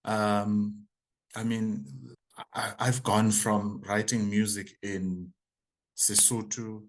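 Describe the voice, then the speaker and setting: Halting, low-voiced South African man. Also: flat delivery. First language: isiZulu. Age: 40s. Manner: thoughtful, halting, often repeats words while searching. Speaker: choral conductor and composer, interview guest. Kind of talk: casual interview answers.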